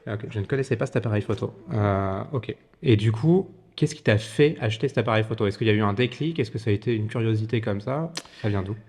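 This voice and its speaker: Informal male host, low voice. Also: fast talker, a little mellow, big-room sound. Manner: filler-heavy, informal. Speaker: podcast host. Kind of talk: casual interview.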